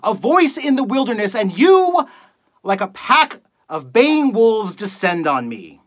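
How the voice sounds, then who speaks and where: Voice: animated, measured pace, husky. A performer playing a bitter, contemptuous late-night talk radio host in a scornful, theatrical monologue. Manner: scornful, accusatory, building from low muttering to shouting.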